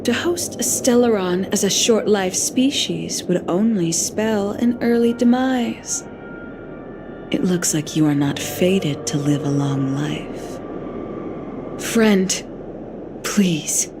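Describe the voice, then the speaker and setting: A woman playing a serene, gently imperious female cult master in a video game, speaking in a measured, formal way. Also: fairly low pitch. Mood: probing, then grave, urging. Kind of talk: dramatic video game voice acting. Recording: game dialogue with loud background music.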